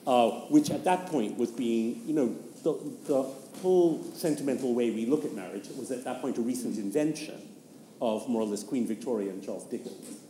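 A man giving informal scholarly commentary in a halting, wry way. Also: mid-range voice, warm tone. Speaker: panelist, literary commentator. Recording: reverberant hall, faint noise.

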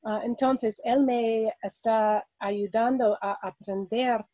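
A woman hosting an interview in Spanish, a language she is still learning, speaking slowly with many hesitations. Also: on the high side, fairly even intonation, dark tone, no echo. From India. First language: not Spanish. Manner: slow, hesitant, many ums and ahs. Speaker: host. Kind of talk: interview, reading prepared questions then chatting.

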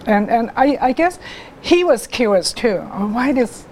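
A middle-aged woman, telling her life story as a guest artist in an interview, haltingly, with many restarts and pauses. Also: on the high side, expressive, a little mellow, a little hiss or hum. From Hong Kong.

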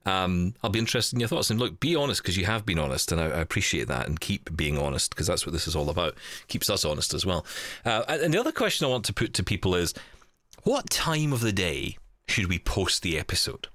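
A man with a very low voice that moves around a lot in pitch. He hosts a casual podcast, rambling and doubling back on words in a jokey, teasing way. The recording is close and dry. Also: big pitch swings, quick pace, a bit bright, no echo. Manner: rambling, repeats words to get going. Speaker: podcast host. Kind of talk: casual chat.

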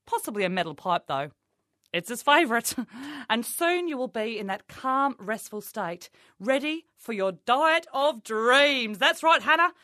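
A woman's voice: on the high side, big pitch swings, unhurried, sharp tone, no room sound, studio quality. A woman doing a brash, insulting mock-motivational comedy monologue as a life coach. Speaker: comic playing a life coach.

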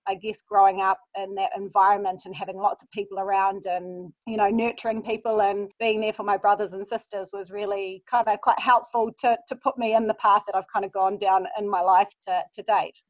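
A woman's voice: mid-range voice, flat delivery, fairly quick, hardly any pauses, no echo. Chatty New Zealand woman, lo-fi. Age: 30s. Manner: warm, chatty storytelling with fillers. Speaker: guest, mindfulness coach. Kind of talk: podcast interview. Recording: dull, lo-fi sound.

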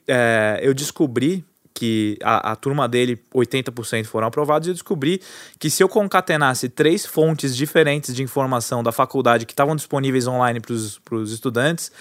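A man's voice: medium pitch, moderate pace, no echo, clean studio sound. Chatty Brazilian man in his thirties. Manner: relaxed and chatty, with frequent fillers and self-correcting restarts. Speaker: guest, entrepreneur. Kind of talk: casual storytelling interview.

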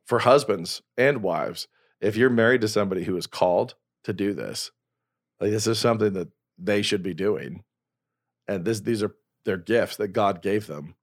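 A man's voice: fairly low pitch, no room sound, studio quality. A man in his forties, a podcast host and novelist, talking in an earnest, rambling way with many restarts. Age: 40s. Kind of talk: casual conversation.

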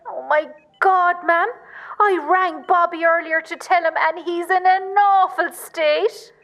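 A woman's voice: very high voice, fairly even intonation, measured pace, fairly bright tone, full character voice. A woman playing a dramatic, exasperated but loving Irish daughter with a Cork accent in an amateur radio play. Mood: exasperated, anxious. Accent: Irish, County Cork.

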